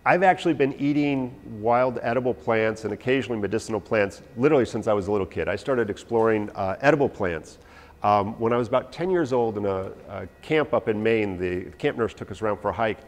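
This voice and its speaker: A man in his forties, an herbalist, giving a lively, anecdotal and self-deprecating talk to a live audience in a large hall, recorded from a far camera. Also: on the low side, a little fast. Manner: animated, anecdotal, self-deprecating, gesturing while talking. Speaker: herbalist lecturer. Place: large hall with a stage, indoors. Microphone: no visible mic, far camera.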